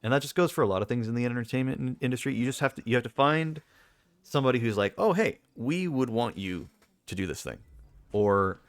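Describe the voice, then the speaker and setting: A young man with a deep voice, talking casually and rambling with lots of fillers and restarts as a streamer. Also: lively intonation, a little fast, no echo. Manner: rambling, self-correcting, many fillers.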